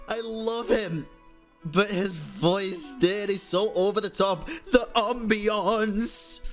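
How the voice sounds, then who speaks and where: A man's voice: high-pitched, drawn-out words, a bit bright. Young man, game streamer, casual slangy commentary, sarcastic, sweary, faint music behind.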